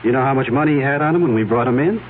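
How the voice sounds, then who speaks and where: An actor playing a blunt, sarcastic district attorney. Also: male voice, medium pitch, racing, natural voice. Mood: stern. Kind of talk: 1970s radio drama acting. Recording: tinny lo-fi old radio broadcast with faint hiss.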